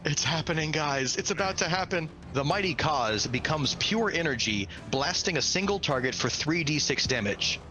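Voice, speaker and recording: A man's voice: on the high side, animated, moderate pace, hardly any pauses, pushed and bright, low-quality recording. Guy running a tabletop game, hyped n dramatic storytelling, music playing under him. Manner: enthusiastic, slangy, dramatic. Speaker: game master. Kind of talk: excited narration of a tabletop game. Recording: music behind the voice. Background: background music.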